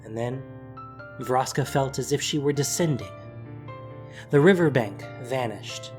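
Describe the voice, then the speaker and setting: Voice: mid-range voice, a little slow. A narrator reading scripted prose for an audio drama. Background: music.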